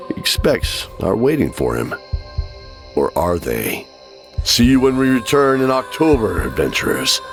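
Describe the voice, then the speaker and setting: A man narrating a scripted fantasy audio drama as a measured, theatrical storyteller. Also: lowish voice. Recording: clear music bed underneath.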